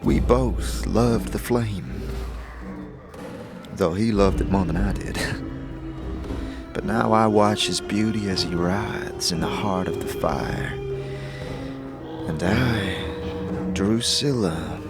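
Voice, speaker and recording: A man voicing a sardonic, world-weary elven woman in an audio drama, measured and brooding, with music behind. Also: low-pitched, voice pushed down. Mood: wistful, despairing.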